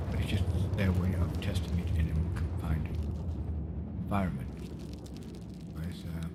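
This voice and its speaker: Swedish man streaming over loud engine hum. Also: deep voice. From Sweden. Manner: rambling, halting, explaining while playing. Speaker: game streamer. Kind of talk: casual live gameplay commentary. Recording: loud ship engine noise behind.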